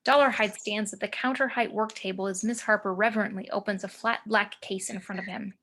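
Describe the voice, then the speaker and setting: A woman's voice: medium pitch, fairly even intonation, a little fast, fairly bright tone. A woman reading screenplay action descriptions as narrator of a table read over a video call. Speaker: narrator reading stage directions.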